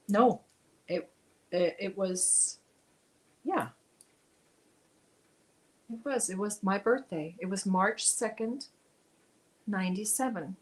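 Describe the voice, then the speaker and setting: A woman's voice: mid-range voice, rough recording. A woman, hosting a casual crochet livestream, chatting and reading viewer comments aloud as she works. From Germany. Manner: chatty, reads viewer comments aloud, mutters while counting stitches.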